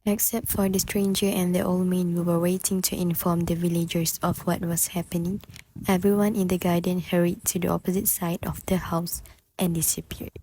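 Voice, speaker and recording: A woman's voice: lowish voice, level tone, moderate pace, warm tone, slight background noise, rough recording. A woman reading scripted narration for a play as its narrator.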